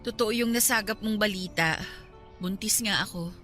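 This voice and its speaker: A woman's voice: mid-range voice, moderate pace, fairly bright tone, faint music behind, natural voice. A woman playing a long-suffering young mother in an emotional radio drama, earnest and pleading with her partner. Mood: guarded.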